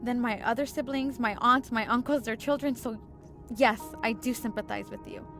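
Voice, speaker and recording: A woman's voice: a little high, moderate pace, a bit bright, faint music behind. An actress playing a persistent, grieving woman agent in an audio drama, earnest and blunt. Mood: pained, earnest.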